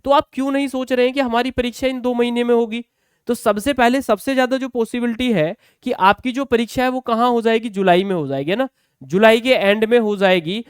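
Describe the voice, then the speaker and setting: A man's voice: high-pitched, clear, resonant tone, no room sound, clean studio sound. A man explaining exam news to students as a coaching teacher, conversational and often checking in with short tag questions.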